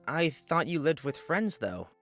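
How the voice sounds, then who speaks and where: A man's voice: on the high side, big pitch swings, measured pace, vocal fry, a bit bright, faint music behind, exaggerated voice. A man playing an uneasy young traveler lost in a forest, speaking with questioning uncertainty in a visual novel. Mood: curious, puzzled. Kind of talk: visual novel character acting.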